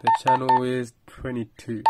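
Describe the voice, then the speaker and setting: A man's voice: medium pitch, completely flat delivery, moderate pace, very noisy. A man giving a casual product review in a plain-spoken, slightly hesitant explaining manner.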